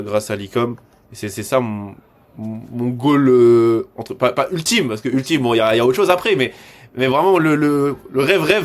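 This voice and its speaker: Man, casual and stammering. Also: mid-range voice. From born in Morocco, raised in France from six months old. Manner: repetitive, stammering starts with slangy French. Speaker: e-commerce coach hosting a discussion with students. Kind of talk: casual chat.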